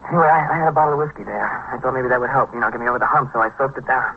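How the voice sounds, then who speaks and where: Dull noisy old radio sound, male actor as young addict, quick rambling speech, agitated, halting, repetitive, sick and desperate. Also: mid-range voice, vocal fry, close to an everyday voice. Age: young adult. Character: jittery, sick young male drug addict confessing to police. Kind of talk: vintage radio crime drama acting.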